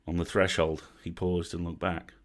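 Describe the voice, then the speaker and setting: A man narrating a bedtime story from a script as an expressive storyteller. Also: low voice, moderate pace. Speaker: storyteller, host.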